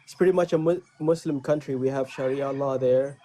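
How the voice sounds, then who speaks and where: A man from Malaysia arguing on a call, calm but insistent as he pushes back. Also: medium pitch, fairly quick, slight background noise. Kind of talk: debate on a call.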